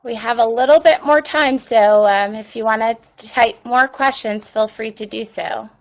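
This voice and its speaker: A woman's voice: a little high, normal speed, lo-fi sound. A woman presenting an online webinar and answering viewer questions, with many ums and uhs. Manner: reads viewer questions aloud.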